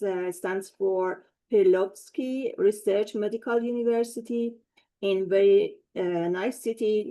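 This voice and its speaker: A woman moderating a webinar, introducing a speaker with frequent pauses and fillers. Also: mid-range voice, dark tone, poor audio. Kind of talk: hosting a webinar.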